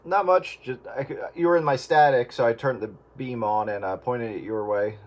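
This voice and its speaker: A man's voice: medium pitch, normal speed. A man making casual check-in chat as a radio hobbyist. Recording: loud radio static and noise.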